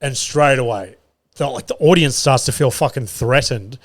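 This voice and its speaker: A man's voice: medium pitch, normal speed. A young man hosting his solo podcast, telling comedy-gig stories in a loose, sweary way and laughing at his own jokes. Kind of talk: casual solo podcast storytelling. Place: studio.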